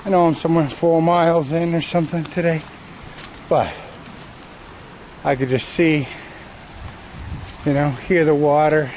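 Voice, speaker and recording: Middle-aged guy vlogging on a trail, slow easy talk, kinda high voice, wind and water noise on the camera mic. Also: fairly even intonation, darkish tone. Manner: slow, rambling commentary to the camera while walking. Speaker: hiking vlogger. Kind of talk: casual hiking vlog narration. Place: wooded trail outdoors by a stream. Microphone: handheld action camera at arm's length. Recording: noisy outdoor camera audio.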